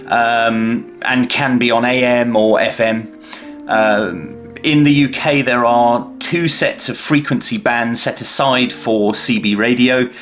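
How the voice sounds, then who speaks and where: Male product reviewer, mid-pitched, slow and measured, halting mid-phrase, clear guitar music underneath. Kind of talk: unscripted unboxing demonstration and review.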